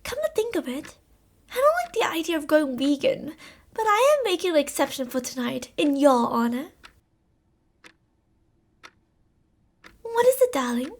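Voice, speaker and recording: A woman playing an unhinged, gloating cannibal killer in a scripted ASMR roleplay, with a mock-sweet, theatrical, taunting and drawn-out delivery. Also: high-pitched, big pitch swings. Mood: mock-sweet, teasing.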